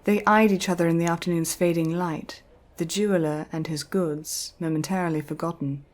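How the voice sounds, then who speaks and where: A woman reading a scripted, dramatic story as narrator, with measured and deliberate phrasing. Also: on the low side, steady tone. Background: soft music.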